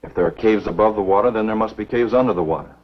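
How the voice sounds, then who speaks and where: A man acting an earnest, duty-bound American lawman in a 1950s B-movie, speaking in a measured, matter-of-fact, husky voice. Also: lowish voice, close to an everyday voice. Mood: earnest, reasoning. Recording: dull, muffled 1950s film soundtrack.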